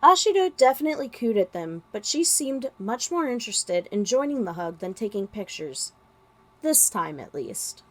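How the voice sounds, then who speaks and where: A woman's voice: fairly high pitch, big pitch swings, normal speed, fairly bright tone. Woman narrating a story expressively. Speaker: podfic narrator.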